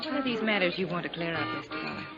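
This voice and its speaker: Proud, guarded widow, vintage radio drama, poised and dry, muffled old broadcast with faint music. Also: female voice, fairly low pitch, animated, very fast. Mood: cool. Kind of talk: 1950s radio drama acting. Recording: dull 1950s radio recording, faint music.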